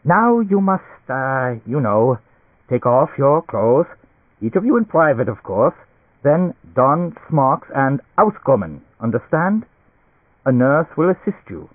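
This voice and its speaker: Storybook German doctor: fussy, effusive, giggly temperament, lifted animated voice, thin lo-fi sound. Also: male voice, mid-range voice, slow, no echo, pitched above the performer's own voice. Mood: soothing, bossy. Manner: effusive, broken English sprinkled with German words. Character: male German doctor, excitable, giggling, fussily reassuring. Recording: tinny band-limited radio-like sound.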